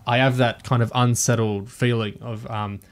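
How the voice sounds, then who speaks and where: A man's voice: medium pitch, fairly even intonation, moderate pace. A man chatting casually and thoughtfully as a panelist on a review podcast, feeling his way through his points.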